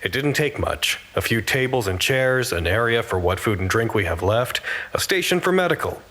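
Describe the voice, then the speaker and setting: A man's voice: medium pitch, expressive, moderate pace, fairly bright tone, natural voice. Man acting an earnest, anxious radio reporter. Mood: measured, musing. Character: earnest, anxious male facility worker radioing reports to command. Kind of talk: audio drama monologue.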